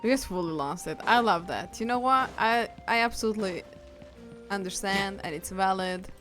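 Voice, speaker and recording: A woman's voice: mid-range voice, moderate pace, fairly bright tone, soft background music. A woman streaming a game, giving casual, chatty live commentary. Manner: chatty, reads game dialogue aloud.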